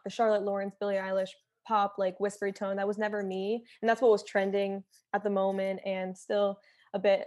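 A woman's voice: mid-range voice, darkish tone. A young woman from Philadelphia, a singer-songwriter talking casually as an interview guest, filling her speech with "like". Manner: chatty, many fillers like "like".

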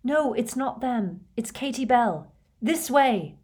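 A woman's voice: medium pitch, lively intonation, unhurried, breathy edge. A woman voicing an urgent, brave teenage schoolboy in clipped phrases, as part of a storybook reading.

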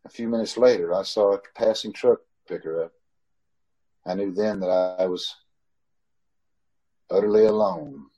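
A man acts a desperate driver telling his tale in an old-style radio suspense play. The voice is dark and rough-edged, sitting fairly low. He sounds haunted and pleading, with dramatic delivery. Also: fairly even intonation, a little slow, long pauses, poor audio. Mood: haunted, grave. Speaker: actor in an old-time radio troupe. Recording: amateur video call recording.

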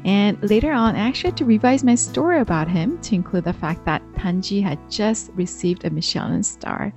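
Woman, podcast host, mid-pitched voice, conversational interview style, faint music. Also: lively intonation, fairly quick, darkish tone. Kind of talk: podcast introduction and gentle interview questions.

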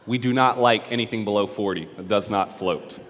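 A man from North Carolina with a Southern accent, preaching casually to his church while raspy from a cold. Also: fairly low pitch, fairly quick, fairly bright tone, big-room sound. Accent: Southern American. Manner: casual, conversational preaching with frequent restarts. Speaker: pastor. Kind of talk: sermon. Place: church service in a hall.

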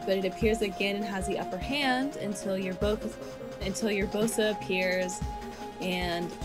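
A young woman co-hosts a casual podcast, telling a story with plenty of "uh"s and "you know"s and laughing often. Her pitch sits in a middle range. Music plays clearly under her voice. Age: 20s. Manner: animated, laughs often, filler-heavy storytelling. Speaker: podcast co-host. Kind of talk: casual podcast chat, retelling a movie pitch.

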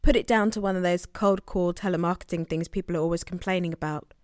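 An actress playing an earnest, searching sister in a naturalistic audio drama. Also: female voice, on the low side, fairly even intonation, fast, smooth, no echo, studio quality, natural voice. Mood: wistful.

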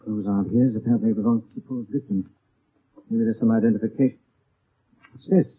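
Grave gentleman sleuth, husky voice. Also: lowish voice, steady tone. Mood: deductive. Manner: grave, deliberate. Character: composed, deductive gentleman sleuth. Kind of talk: 1940s radio acting. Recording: muffled, narrow-band 1940s radio recording.

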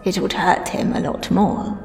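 A woman playing a cruel, menacing disembodied villain in a dramatic audio story, with a slight foreign accent and slow theatrical delivery. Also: darkish tone, lightly acted. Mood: gloating, cruel. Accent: slight unplaceable foreign. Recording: echoey, long reverb, music behind.

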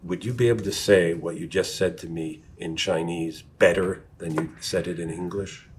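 A man's voice: low-pitched, fairly even intonation, normal speed, slight background noise. A man who teaches, hosting an academic conversation and asking reflective, probing questions. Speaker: teacher, discussion host.

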